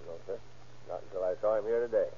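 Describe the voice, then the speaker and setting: An actor playing a deferential, plain-spoken boat captain in an old radio drama. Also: male voice, on the low side, steady tone, quick pace, mellow, breathy edge. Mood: measured testimony. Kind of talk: 1940s radio acting. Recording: muffled, hissy old radio recording.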